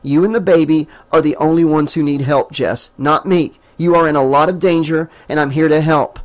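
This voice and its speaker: A man's voice: fairly high pitch, steady tone, normal speed, close to an everyday voice. Male narrator doing a desperate young man, insistent, storybook reading. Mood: earnest. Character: young man, desperate, trying to protect her.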